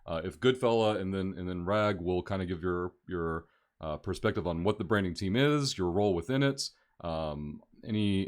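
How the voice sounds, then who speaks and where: A man hosting a live-streamed interview, asking loose, rambling questions with enthusiasm. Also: deep voice. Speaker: host.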